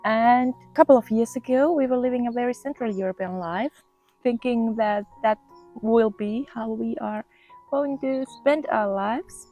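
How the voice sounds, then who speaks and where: A woman, casually vlogging outdoors and often pausing to search for words. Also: a little high, lo-fi sound, soft background music. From Finland. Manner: relaxed, thoughtful, pauses to search for words. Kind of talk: casual walk-and-talk vlog. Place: outdoors in the countryside.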